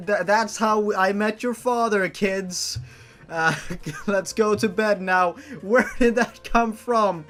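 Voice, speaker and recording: A host giving casual comedic reaction commentary on amateur fiction, melodramatic and exasperated, swearing freely. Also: mid-range voice. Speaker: host of a fan fiction reading series.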